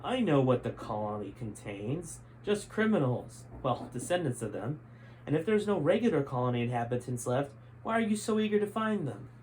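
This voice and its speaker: A man reads as a smug adventure-story explorer. He is sarcastic and challenging, in a mid-pitched voice over faint background noise. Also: big pitch swings, moderate pace, a little mellow, lightly acted, rough recording. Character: smug, probing male explorer and father.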